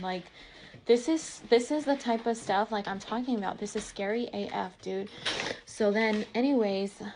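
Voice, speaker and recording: A woman's voice: medium pitch, darkish tone. Woman telling a casual, dramatic personal story. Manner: colloquial and dramatic, acting out reported dialogue.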